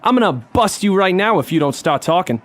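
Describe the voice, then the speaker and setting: A man's voice: sits high, lively intonation, brisk. A man playing a tough, sardonic police detective in a noir comedy audio drama, with a threatening edge. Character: tough, wry hard-boiled male police detective. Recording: music behind the voice.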